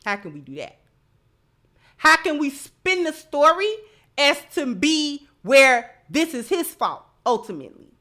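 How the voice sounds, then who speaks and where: A woman's voice: sits high, sing-song, measured pace, sharp tone. An American woman hosting opinionated live commentary, speaking emphatically and repeating phrases for stress. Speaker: host of a commentary show.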